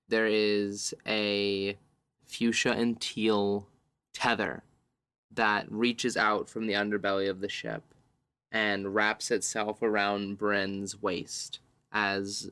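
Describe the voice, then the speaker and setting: Low-voiced man narrating slowly, halting. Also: pushed and bright, clean tone, dry recording. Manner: halting, piecing descriptions together in fragments. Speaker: player in a tabletop roleplaying game. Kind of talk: improvised descriptive tabletop game narration.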